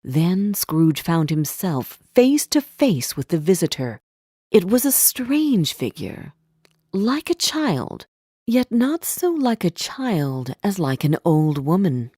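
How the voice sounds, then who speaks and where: Female narrator for learners' fairy tales: lowish pitch, unhurried deliberate delivery, scripted. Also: big pitch swings, dark tone, husky, dry recording, studio quality.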